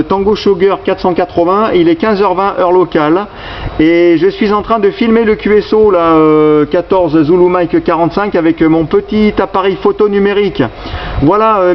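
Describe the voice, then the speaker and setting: A man working as an amateur radio operator, chatting with call signs over the radio in a hesitant, halting way that repeats words. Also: high-pitched. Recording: dull, noisy radio recording.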